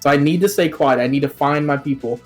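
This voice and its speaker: A man talking casually about his game plan, eager and energetic. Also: a little high, fast talker, faint music behind. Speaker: player in a online game contest.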